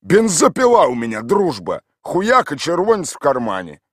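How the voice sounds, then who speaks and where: A man's voice: fairly high pitch, wildly swooping pitch, normal speed, fairly bright tone, no echo, clean studio sound, pitched above the performer's own voice, heavily acted. A man doing a rowdy hard-drinking villager.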